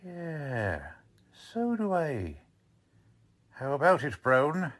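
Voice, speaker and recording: A man's voice: on the high side, big pitch swings, extremely slow, fairly bright tone, full character voice. A man playing a blunt, sarcastic police lieutenant in the style of a 1940s radio drama. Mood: blunt, suspicious. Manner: blunt, mocking, slangy.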